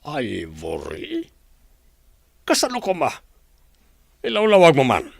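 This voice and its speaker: A man's voice: a little high, wildly swooping pitch, slow pace, gravelly, slightly rough, no room sound, heavily acted. A man with a Filipino accent playing a stern, fair-minded father in a comedy radio drama, admonishing and questioning with emphasis. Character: stern, fair-minded father scolding his grown son.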